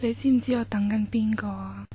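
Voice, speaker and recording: A woman playing an eerie, slow-speaking ghost in a Cantonese radio horror drama, with a Hong Kong accent. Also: medium pitch, steady tone, mellow, slight background noise, natural voice. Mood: ominous, calm. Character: eerie female ghost waiting on a mountainside.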